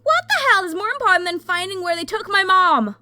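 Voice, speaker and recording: A woman's voice: very high-pitched, expressive, fairly quick, bright, forceful tone, no room sound, soft background music, heavily acted. A woman voicing an impatient, vengeful young female fire mage in an audio drama, agitated and blunt. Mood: agitated, demanding. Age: young adult.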